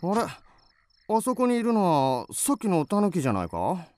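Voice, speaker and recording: A man's voice: high voice, huge pitch swings, moderate pace, darkish tone, a little hiss or hum. A man playing a mild, easily puzzled village hunter in a radio drama, naive and wondering. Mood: curious.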